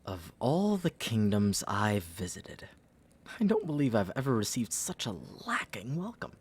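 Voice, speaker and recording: A man's voice: mid-range voice, very animated, unhurried, a little hiss or hum. A man playing a pompous, entitled young prince in a fantasy audio drama, haughty and condescending. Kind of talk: fantasy audio roleplay acting.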